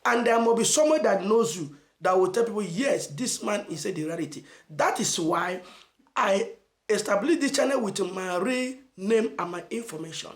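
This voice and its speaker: A Nigerian man giving an emphatic motivational message as a channel host, asking rhetorical questions and stressing phrases slowly. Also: sits high, animated, reverberant. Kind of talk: motivational preaching to camera.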